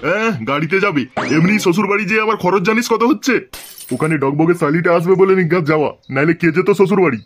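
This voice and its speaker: A man voicing a brash, stingy, flirtatious middle-aged husband and father in a comedy cartoon, full of crude sarcastic banter. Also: high-pitched. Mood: scornful, bossy. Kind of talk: comic cartoon dialogue.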